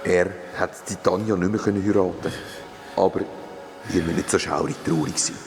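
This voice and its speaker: Deep, solemn Swiss German guide spirit. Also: male voice, steady tone, moderate pace, over music. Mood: solemn, consoling. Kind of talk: Swiss German children's radio play acting.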